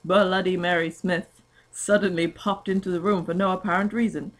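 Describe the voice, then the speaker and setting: A woman's voice: fairly low pitch, steady tone, moderate pace. A young woman giving a comic, mock-dramatic amateur reading of fan fiction, prone to giggling.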